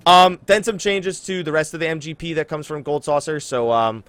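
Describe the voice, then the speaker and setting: A man hosting a casual gaming podcast, talking in an animated way and exaggerating for emphasis. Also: on the high side, normal speed, no room sound. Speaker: podcast host. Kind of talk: casual game podcast chat.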